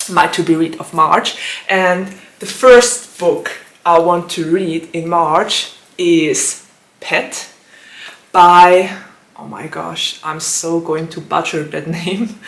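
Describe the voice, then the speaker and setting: A woman with a slightly raspy, hoarse voice, chatting casually about books in her vlog and giggling often between phrases. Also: lowish voice, bright, forceful tone. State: hoarse from allergies. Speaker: book vlogger. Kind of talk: casual book vlog chat. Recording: echoey room.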